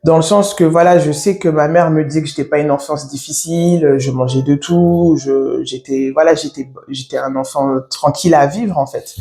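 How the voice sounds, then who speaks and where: Halting woman in her thirties giving testimony. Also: sits low, fairly even intonation, warm tone. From France. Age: 30s. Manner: halting, reflective, many pauses. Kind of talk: personal faith testimony. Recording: echoey room.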